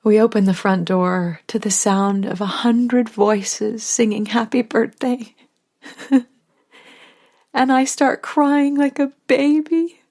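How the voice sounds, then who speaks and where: A middle-aged woman narrating a first-person audio drama as a mother, tender and softly emotional, sometimes sinking to a whisper. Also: a little high, slow.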